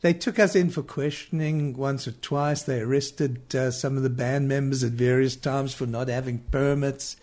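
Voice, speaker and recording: A man's voice: medium pitch, steady tone, moderate pace, hardly any pauses, a little mellow. A man from South Africa with a South African accent, a musician looking back in an interview. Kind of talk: reflective interview recollection.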